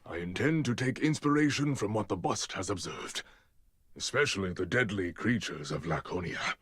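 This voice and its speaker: An actor playing a stern, authoritative older Spartan commander, speaking formally and deliberately in a video game drama. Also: male voice, fairly low pitch, animated, fairly bright tone, slightly rough, no room sound. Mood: measured, grave.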